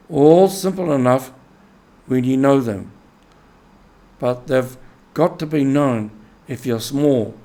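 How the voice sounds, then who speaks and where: A man's voice: mid-range voice, a little mellow. A man voicing a kindly, experienced water rat in a storybook, with a hearty and earnest manner. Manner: soothing, hearty, earnestly advising.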